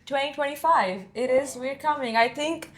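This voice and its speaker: A woman's voice: high-pitched, normal speed, fairly bright tone. Chatty woman co-host from India. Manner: chatty, laughing, many fillers and restarts. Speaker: podcast co-host. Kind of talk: casual podcast chat.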